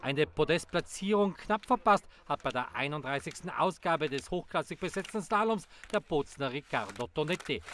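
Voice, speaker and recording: A man reading a scripted sports news report in a measured, formal way. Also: a little high, a bit bright, a little hiss or hum.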